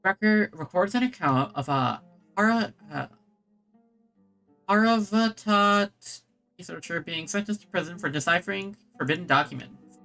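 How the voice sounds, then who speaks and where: A woman's voice: lowish voice, moderate pace, fairly bright tone. A woman streaming a video game, giving casual commentary and reading in-game text aloud. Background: game music.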